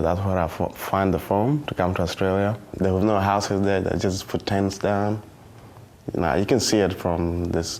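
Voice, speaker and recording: A young man of nineteen speaks in a low, level voice. He talks haltingly, with many fillers and restarts. Faint noise sits behind him. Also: a little mellow. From South Sudan. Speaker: documentary interviewee. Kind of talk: personal testimony in a youth documentary.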